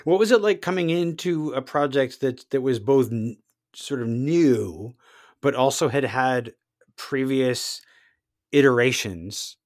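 Interviewer, dry close sound, stumbles through long questions with restarts and soft murmurs. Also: medium pitch, expressive, no room sound. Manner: halting, searching questions with many restarts. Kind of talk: conversational interview.

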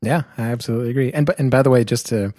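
A man's voice: mid-range voice, racing, mellow, dry recording, studio quality. A man hosting a podcast chat, thoughtful, working his questions out aloud. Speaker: podcast host. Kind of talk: casual chat.

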